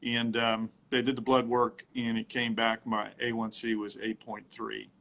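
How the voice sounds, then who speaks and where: A middle-aged man talking casually on a phone livestream from home, telling his story haltingly with many fillers and restarts. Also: mid-range voice, monotone, creaky, bright, forceful tone.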